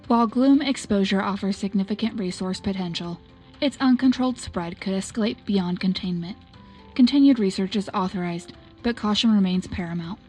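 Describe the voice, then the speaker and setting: A woman playing a composed military news anchor, reading a scripted wartime bulletin in a formal, measured way. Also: medium pitch, darkish tone, close to an everyday voice.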